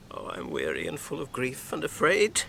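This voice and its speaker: An actor playing a weary, fearful but determined hobbit on a doomed quest, earnest and anguished in a fantasy radio drama. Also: male voice, mid-range voice, vocal fry, bright, forceful tone, a little hiss or hum, heavily acted.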